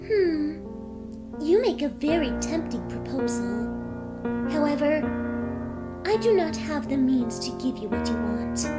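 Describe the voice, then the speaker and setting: A woman's voice: high voice, voice pushed up. A woman voicing a seductive, lonely vampire pony with a courteous, teasing manner in a fan fiction audio story. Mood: measured.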